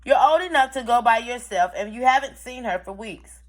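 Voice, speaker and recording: Woman as storybook mom, caring, cautioning, singsong, lively rise and fall. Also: a little high, normal speed. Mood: encouraging. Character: caring, cautioning storybook mother of a young girl.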